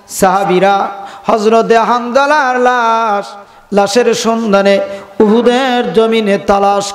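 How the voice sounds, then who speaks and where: A man preaching a melodic, half-chanted storytelling sermon with impassioned, drawn-out calls to his listeners. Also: high-pitched. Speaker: Islamic preacher. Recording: long hall reverb, loud PA sound.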